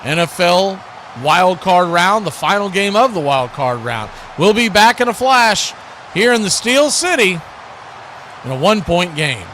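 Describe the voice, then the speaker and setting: A man's voice: high voice, lively intonation, unhurried, sharp tone, slight background noise. A man doing live radio-style football play-by-play as the announcer.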